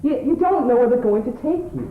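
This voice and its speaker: A man with an English accent playing a scruffy, earnest, smugly analytical suitor on an amateur stage, repeating words haltingly as he explains. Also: extremely high pitch, expressive. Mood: wounded, insistent. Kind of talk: amateur stage play acting. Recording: tinny old tape, roomy, background hiss.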